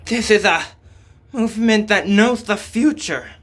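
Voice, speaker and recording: An alert young-sounding game warrior, acted out by a man, pitched well up and pushed louder. The delivery is urgent and commanding, like a soldier on guard. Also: a little slow. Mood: urgent, alarmed.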